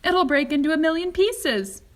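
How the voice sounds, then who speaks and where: A woman voicing an excitable old grandpa in a storybook, exclaiming loudly. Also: high-pitched, moderate pace, voice pushed up. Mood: alarmed. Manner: shouting, exclamatory, stammering in alarm.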